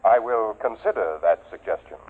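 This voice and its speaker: A narrow, lo-fi vintage radio recording carries a low voice. It is an emotionless robot psychiatrist acted by a man, clinical and stilted, pedantic in every phrase, in the style of 1950s science fiction radio. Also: normal speed, soft background music, lightly acted. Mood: clinical, unemotional. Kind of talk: 1950s radio drama acting.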